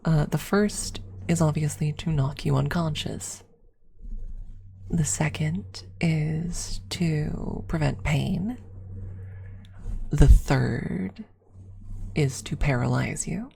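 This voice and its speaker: A woman speaking softly and often in a whisper, telling a personal story as an ASMR streamer, close to the microphone. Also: low-pitched, takes it slowly, gravelly, pauses often, a little hiss or hum. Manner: hushed, often slipping into whisper. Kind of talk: soft-spoken ASMR storytelling.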